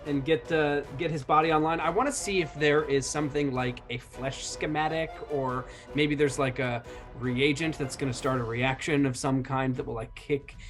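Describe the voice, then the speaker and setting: A man's voice: fairly high pitch, steady tone, moderate pace, hardly any pauses, fairly bright tone, faint music behind. A man chatting casually as a player in a roleplaying game, jokingly describing what his character does.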